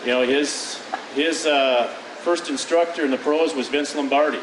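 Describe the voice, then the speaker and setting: A man's voice: mid-range voice, fairly even intonation, moderate pace. A man speaking to a conference audience in a hesitant way, with many fillers and restarts. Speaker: guest speaker. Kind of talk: casual talk to an audience. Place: conference hall. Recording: echoey room, distant mic, noisy.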